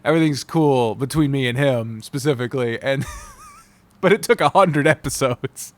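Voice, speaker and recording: A man's voice: mid-range voice, normal speed. A man giving an unscripted, casual review monologue, candid and rambling, with emphatic wording and some swearing. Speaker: YouTuber reviewing visual novels.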